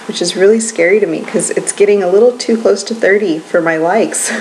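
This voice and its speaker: A young woman in her twenties talks casually at home, drifting from one thought to the next with frequent ums and soft laughs. Her voice sits a little low for a woman, and a faint hiss runs quietly underneath. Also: rough recording. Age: 20s. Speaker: vlogger. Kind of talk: casual chatty vlog.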